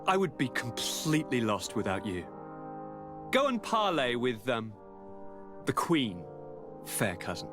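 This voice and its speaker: An actor playing a courteous, theatrical young noble governor in a video game, with flowery, aristocratic manners. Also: male voice, mid-range voice, wildly swooping pitch, a little slow, pauses often, music in the background. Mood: courteous, breezy.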